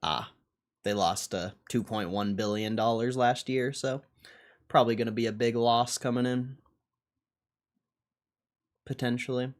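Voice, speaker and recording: Man, unhurried pace, medium pitch, casual livestream commentary, blunt, sweary, slangy. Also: steady tone. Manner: foul-mouthed, blunt. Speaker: stock market livestreamer.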